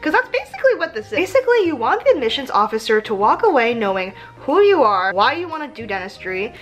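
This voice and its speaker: A woman's voice: a little high, a bit bright. A woman in her twenties hosting an advice vlog, chatty and slangy, with a self-mocking streak. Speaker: vlog host giving application advice. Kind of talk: casual advice vlog with read-aloud passages.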